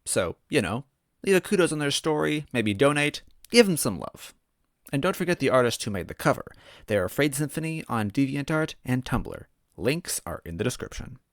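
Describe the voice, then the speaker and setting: A man's voice: mid-range voice, sing-song, normal speed, no room sound, studio quality. A man hosting a story read-aloud series, talking casually and narrating in the first person. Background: background music.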